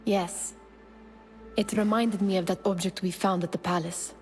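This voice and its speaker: A woman's voice: medium pitch, steady tone, normal speed, a little mellow, faint music behind, natural voice. An actress playing an earnest, challenging young woman in a video game, probing and intense. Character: insistent, challenging young woman, old friend of an assassin. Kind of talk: video game cutscene acting.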